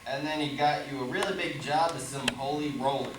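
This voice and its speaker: An actor playing a brash, naive American with an American accent, folksy and blunt, in a stage play recorded in an echoey room from a distance. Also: male voice, mid-range voice, steady tone, fairly quick, lightly acted. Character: brash, naive American cowboy-type guy Woody. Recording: echoey room from far off, hissy.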